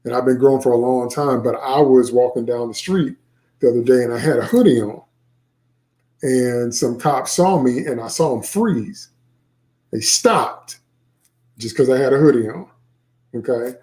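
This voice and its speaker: A middle-aged man speaks as an author in an informal talk. His voice is warm and mellow, and he stresses his points by repeating phrases. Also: mid-range voice, normal speed, pauses often. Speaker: author presenting his book. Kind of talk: informal talk to viewers about his book.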